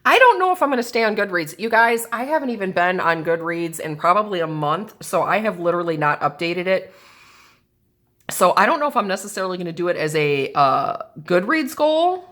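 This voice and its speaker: A woman's voice: on the low side, very animated, fairly quick, fairly bright tone. A woman with a stuffy, sinusy voice chatting casually while setting up a planner, thinking aloud as she goes. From American Midwest. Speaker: planner and journal crafter. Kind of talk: casual crafting chat. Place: indoors at a craft table. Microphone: camera over the table.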